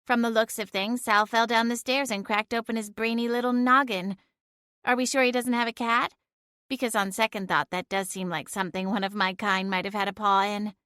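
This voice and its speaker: A woman voicing a sassy female talking cat with a wry, quick, teasing delivery, heard in a storybook reading. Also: on the high side, steady tone, a bit bright, clean tone, dry recording, studio quality, close to an everyday voice.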